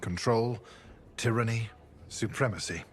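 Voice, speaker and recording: A man plays a haunted defector spy in a video game. His voice is fairly low and his manner measured and reflective. Faint noise sits behind the earnest, grave delivery. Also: lightly acted. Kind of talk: video game dramatic acting.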